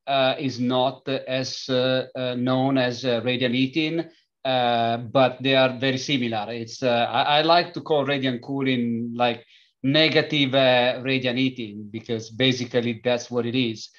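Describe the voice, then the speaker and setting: A middle-aged man from Italy with an Italian accent, a company founder speaking as an interview guest, pausing often with filler sounds and restarts. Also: medium pitch, steady tone, a bit bright, dull audio. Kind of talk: conversational interview.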